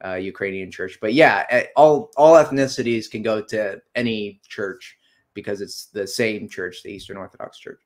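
A young man joining a livestream as a guest, answering in a relaxed, agreeable way with fillers, heard over a video call. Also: fairly low pitch, moderate pace. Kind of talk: casual conversation on a livestream. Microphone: video call webcam with earphones.

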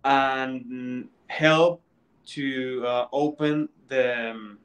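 A man's voice: mid-range voice, fairly even intonation, a bit bright. Man co-hosting on a video call. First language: Spanish. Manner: halting, repeats words. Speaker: webinar co-host. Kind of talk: casual live-stream chat.

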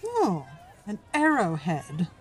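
A woman's voice: fairly low pitch, wildly swooping pitch, slow, a crowd in the background, exaggerated voice. An actress playing a flustered, overly sweet and shifty woman newcomer in an audio drama, with singsong manners. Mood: flustered, chirpy.

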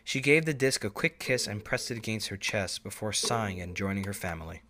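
A man's voice: on the low side, animated, brisk, pushed and bright. A man reading scripted story narration as the narrator.

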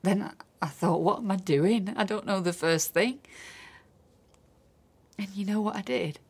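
A woman's voice: medium pitch, unhurried, creaky, a little mellow, slightly rough. A woman acting a grieving, trusting, lonely wife in a naturalistic radio drama. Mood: confiding, needy.

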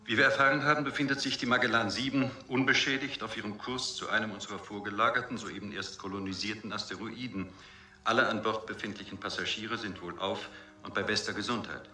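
An actor playing a coolly formal corporate official reading out an official message in a radio drama. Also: male voice, on the low side, bright, forceful tone. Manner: officious, precisely phrased. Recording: roomy binaural studio sound.

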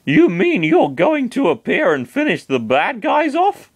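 Man playing an anxious young guy who stumbles over his words, slow and a bit raised in pitch. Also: huge pitch swings, breathy edge, no echo, heavily acted. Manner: stammering, hesitant. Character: nervous young man turned into a kid.

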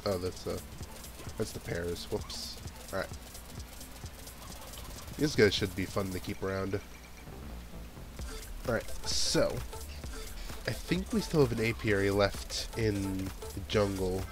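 A man's voice: sits low, lively intonation, slow, long pauses. A man with a stuffy nose giving casual commentary while playing a video game, thinking aloud about what to do next. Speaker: let's play gamer. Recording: game music playing under voice. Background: game background music.

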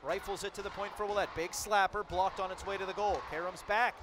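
A man calling a hockey game play by play, rattling off passes quickly and shouting out the goals. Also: sits high, steady tone, background noise. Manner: rapid calls that build to shouted goal calls. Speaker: play-by-play announcer.

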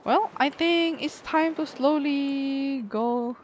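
A woman's voice: high voice, slow, slight background noise. A woman giving casual gameplay commentary, thinking aloud in short reactions. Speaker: gaming video creator.

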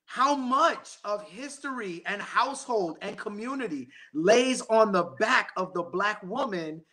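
A man moderating a panel on a video call, engaged and quick with short affirmations. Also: sits high, animated, sharp tone. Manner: engaged, frequent brief affirmations.

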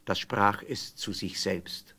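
A man narrating a fairy tale from a script as an expressive storyteller. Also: on the low side, takes it slowly, husky.

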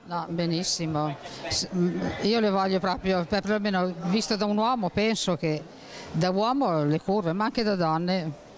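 A woman's voice: lowish voice, measured pace. An older woman, a homemaker, answering questions in a street interview outdoors under a city arcade, speaking in short, hesitant, broken phrases into a handheld mic. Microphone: handheld mic held toward her.

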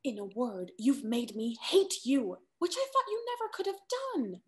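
A woman playing a sharp-tongued, secretive young lady in love, delivered dramatically in a Restoration comedy reading. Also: a little high, wildly swooping pitch, normal speed. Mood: anguished, secretive. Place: indoor home room on a video call.

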